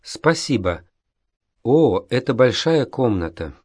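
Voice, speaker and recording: A man playing a polite young Japanese man named Tsubasa in a language-course dialogue, reading in a careful, deliberate way. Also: mid-range voice, sing-song, darkish tone, dry recording, studio quality. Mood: plain. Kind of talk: slow, clear textbook dialogue reading.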